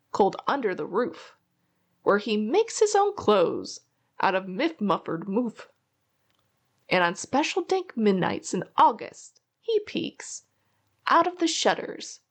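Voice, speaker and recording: A woman reading a rhyming children's storybook aloud in an expressive, theatrical way. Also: fairly high pitch. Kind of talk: read-aloud storytelling of a rhyming picture book.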